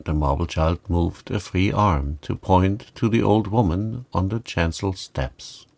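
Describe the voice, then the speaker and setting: A man gently narrating a bedtime story in a calm, measured way. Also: deep voice, creaky. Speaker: audiobook narrator.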